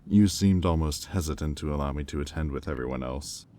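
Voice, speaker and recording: A man playing a haughty, sardonic demon bidder in an audio roleplay, speaking with condescension and deliberate pauses. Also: a bass voice, mellow, slight background noise. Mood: smug, intrigued.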